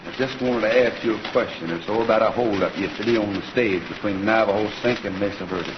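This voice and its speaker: A man's voice: fairly low pitch, lo-fi sound, close to an everyday voice. Laconic cowboy hero, male actor, noisy old recording. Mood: firm, commanding. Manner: terse, unhurried, folksy. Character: laconic, steady Western cowboy rancher hero. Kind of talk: old-time radio Western acting.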